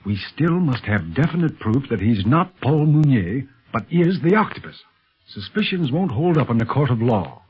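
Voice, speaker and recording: An actor playing a calm, protective American secret police agent in a 1930s radio adventure serial, speaking with earnest authority in a husky voice. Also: male voice, mid-range voice, normal speed. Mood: measured, cautious. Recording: muffled, scratchy 1930s radio recording.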